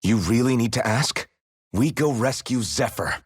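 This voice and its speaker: A man's voice: medium pitch, lively intonation, moderate pace, husky, no room sound, clean studio sound. An actor playing an earnest, protective young rebel swordsman in a video game drama. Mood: sober, determined.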